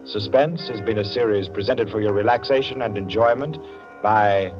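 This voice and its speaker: A man announcing formally and with measured phrasing in scripted 1940s radio style. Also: lowish voice. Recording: muffled 1940s radio recording. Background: loud dramatic music.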